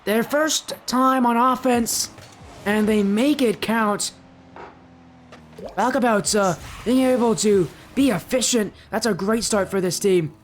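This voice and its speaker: A teenage boy with a high-pitched, youthful voice, chatting with his live stream audience and casting a video game match, loud and excited on goals. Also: slow pace, faint music behind. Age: teens. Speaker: esports caster. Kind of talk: live stream chat and play-by-play commentary.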